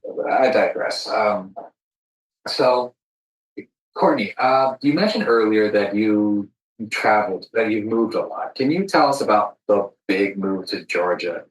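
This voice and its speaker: A man's voice: fairly low pitch, unhurried, echoey room, poor audio. A man hosting a conversational podcast interview, speaking reflectively and sometimes repeating words as he finds his phrasing. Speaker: podcast host.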